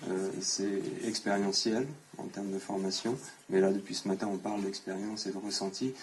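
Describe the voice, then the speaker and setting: A man, an equine coaching instructor, presenting his training center at a conference in a casual, halting way with many fillers. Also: low voice, level tone, a little hiss or hum. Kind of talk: conference talk.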